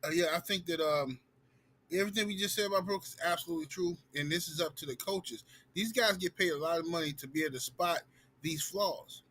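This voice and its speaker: A man's voice: fairly high pitch, a little fast, bright, forceful tone, slight background noise, rough recording. A man giving his opinions on a sports stream, emphatic and calling people out directly.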